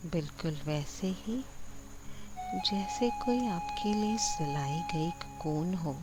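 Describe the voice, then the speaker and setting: A woman with a low voice narrates a guided sleep meditation very slowly. Her delivery is gentle and soothing, with long pauses, and prominent music plays behind her. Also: expressive, low-quality recording. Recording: voice over loud background music.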